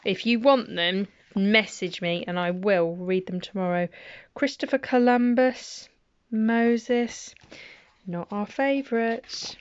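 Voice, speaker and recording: Mum reading bedtime rhymes, slow and smooth, kinda dull lo-fi sound. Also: female voice, medium pitch. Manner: gentle, chatty asides between rhymes. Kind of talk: reading nursery rhymes aloud.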